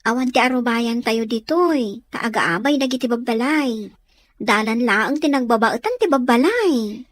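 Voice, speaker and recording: Animated, high-pitched actress as a talkative auntie. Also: female voice, measured pace, no room sound. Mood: informal, explaining. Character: talkative auntie answering a child's questions. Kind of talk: Ilocano radio drama acting.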